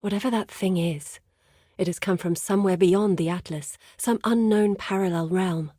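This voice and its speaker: A woman voicing an earnest, wary scholar and guide in a dark fantasy video game, speaking gravely in articulate, formal phrases. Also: lowish voice, moderate pace, no room sound, studio quality, natural voice. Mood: wary, foreboding.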